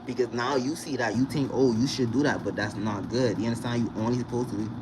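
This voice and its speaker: A young man from the Bahamas, vlogging in a casual, animated way and often checking in with the viewer. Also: mid-range voice, fast talker. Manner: animated, repeats "you understand?" to the viewer. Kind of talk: casual direct-to-camera chat. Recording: noisy recording with vehicle sounds behind.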